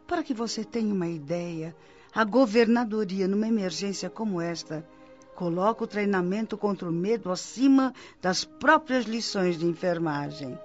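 A man with a Brazilian accent playing a wise, patient spirit mentor in a radio drama, teaching in a measured, reassuring way. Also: sits high, natural voice. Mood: patient, earnest. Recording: dull lo-fi radio drama, music behind. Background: music.

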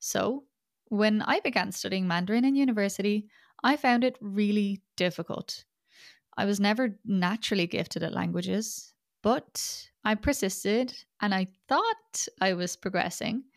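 A woman's voice: medium pitch, measured pace, creaky, dry recording, studio quality. A young Irish woman with an Irish accent hosting a podcast, talking in a chatty, self-deprecating and wry way. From Ireland. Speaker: podcast host. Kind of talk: scripted podcast monologue.

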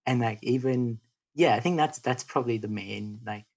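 Gravelly, husky young man, laid-back rambling. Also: mid-range voice, normal speed. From North India. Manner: relaxed, rambling, many fillers and restarts. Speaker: podcast guest. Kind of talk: casual interview chat.

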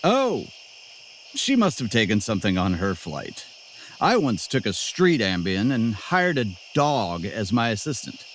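A co-host in a comedic audio sketch, making dry, deadpan wisecracks. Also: medium pitch, unhurried, slight background noise.

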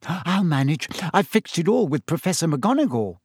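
A self-assured teenage schoolgirl in an audiobook, acted out by a male reader. She is brisk and bossy, heard dry and close. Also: medium pitch, lively intonation, darkish tone, no room sound. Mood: pleased.

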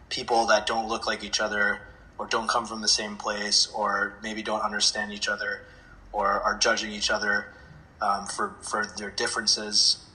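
Earnest man with a bright voice. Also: lowish voice, rough recording. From born in America to Indian parents, grew up in several countries. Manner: earnest, candid, hesitant with frequent throat clearing. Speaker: interview guest, dance music producer. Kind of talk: reflective interview conversation.

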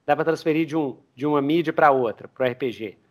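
A man hosting a casual podcast interview, talking in an informal, slangy and expressive way. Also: on the high side, brisk. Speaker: podcast host interviewing guests.

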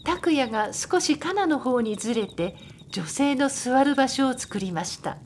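A woman's voice: sits high, lively intonation, unhurried, slight background noise. A woman reading scripted narration for a radio drama.

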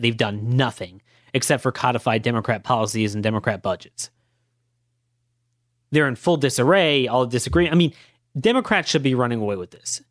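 Hoarse male podcast host, emphatic argumentative monologue, dry close sound. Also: medium pitch, dry recording. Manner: emphatic, argumentative, rhetorical questions. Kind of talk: opinionated political monologue.

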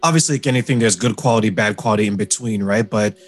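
A man's voice: fairly low pitch, quick pace, faint music behind. A man hosting a podcast, chatting casually and chuckling over a video call. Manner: relaxed, chuckling, conversational. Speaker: podcast host. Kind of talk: casual interview chat.

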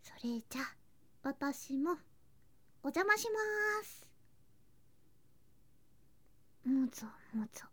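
A woman playing a sweet, clingy younger girl cousin in an ASMR voice drama, soft and breathy, often whispering, with a cutesy, affectionate manner. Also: high voice, animated, measured pace, no echo. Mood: sleepy, tender. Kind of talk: Japanese ASMR situation voice drama. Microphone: close ASMR microphone.

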